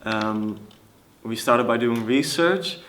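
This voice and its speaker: A young man explaining things in an interview, pausing often as he finds his words. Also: medium pitch, fairly bright tone. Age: young adult. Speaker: youth theatre project participant.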